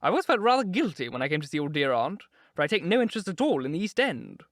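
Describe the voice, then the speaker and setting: A man's voice: on the high side, sing-song, fast, dry recording, clean studio sound, voice pushed up. A man reading aloud, voicing an elderly aristocratic duchess who gushes and flutters. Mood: effusive. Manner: fluttering, effusive.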